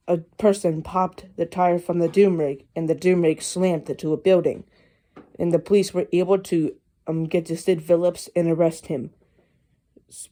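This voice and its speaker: A speaker reading a mock emergency alert broadcast as the announcer, in an amateur and somewhat halting way. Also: mid-range voice, warm tone.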